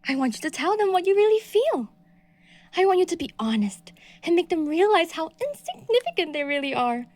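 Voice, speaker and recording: A delusional, obsessive stalker, voiced by a woman in an audio roleplay, with a high voice that swings widely between hushed, breathy menace and shrill fury. She sounds possessive and cruel. The recording is close and dry. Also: big pitch swings, fairly quick, dry recording. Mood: obsessive, menacing. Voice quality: breathy whispered delivery. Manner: shifts between soft menacing whispers and shrill outbursts.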